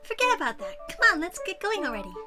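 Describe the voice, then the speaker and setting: A woman's voice: very high-pitched, wildly swooping pitch, fast talker, heavily acted. A woman voicing a cute, pushy teenage girlfriend in a visual novel, sweet and insistent, with scheming undertones. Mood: bubbly, insistent. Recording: music playing behind.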